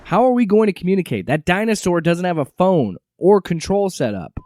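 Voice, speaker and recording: A man's voice: high voice, sing-song, a little fast, darkish tone, slight background noise. A man playing a weary, sarcastic agency director in an audio drama, with a casual, exasperated manner.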